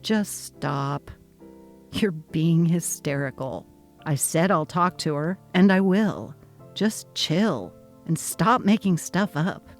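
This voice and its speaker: A woman voicing a young husband who talks calmly and dismissively. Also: low-pitched, takes it slowly, soft background music, full character voice. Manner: calm, brushing off, patronizing. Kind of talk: dramatic reading of a text-message story.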